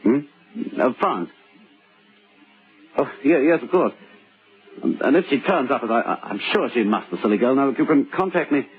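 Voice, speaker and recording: A man's voice: medium pitch, lively intonation, moderate pace, pauses often, close to an everyday voice. An actor playing a scheming, nervous middle-aged husband in an old radio drama, muttering and stammering as he goes. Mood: nervous, falsely concerned. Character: scheming forty-year-old husband hiding a crime, nervous, self-satisfied. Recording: tinny old radio recording with music.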